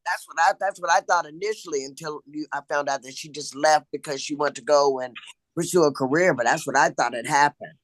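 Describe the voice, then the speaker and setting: A woman's voice: low-pitched, brisk, no room sound, rough recording. Woman in casual discussion, very dry sound. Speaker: actor in a script discussion.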